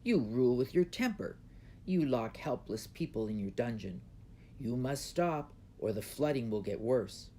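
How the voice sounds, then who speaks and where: A man's voice: medium pitch, animated, measured pace, mellow, natural voice. Wise old man for a children's storybook, played by a male reader; earnest, admonishing, some background noise. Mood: stern warning. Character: wise old man who speaks to dragons. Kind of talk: children's storybook read aloud.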